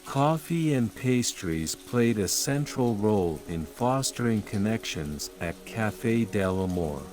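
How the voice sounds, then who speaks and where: A man narrating a sleep story in a calm, measured, soothing way, as scripted bedtime storytelling. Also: fairly low pitch. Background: calm music and rain sounds.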